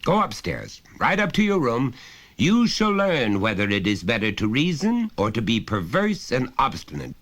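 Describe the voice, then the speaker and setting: A man's voice: mid-range voice, huge pitch swings, moderate pace, a bit bright, pitched above the performer's own voice. A man voicing a stern, pompous, overbearing father who lectures insistently in a storybook reading. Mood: menacing, stern.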